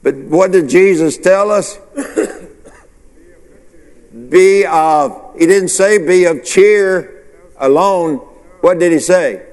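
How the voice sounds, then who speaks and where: A male pastor preaches slowly, with a slightly high voice. He asks the congregation questions and answers them himself. His voice rings through a reverberant church hall. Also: darkish tone. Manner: preacherly call-and-response, rhetorical questions. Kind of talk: sermon.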